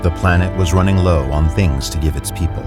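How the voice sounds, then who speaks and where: A man narrating an audio drama in a measured, descriptive way. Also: low-pitched. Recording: clear music bed underneath.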